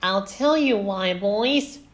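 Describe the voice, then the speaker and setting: A man puts on a confident young storybook woman with plenty of spirit. The voice sits high above a normal male range and speaks out firmly. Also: expressive, slow pace, a bit bright, full character voice.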